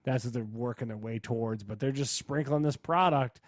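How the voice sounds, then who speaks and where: A man's voice: medium pitch, normal speed, no echo, clean studio sound. A man co-hosting a podcast, chatting casually in informal, slangy language about the news. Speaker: podcast co-host. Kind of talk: casual chat about sports and streaming news.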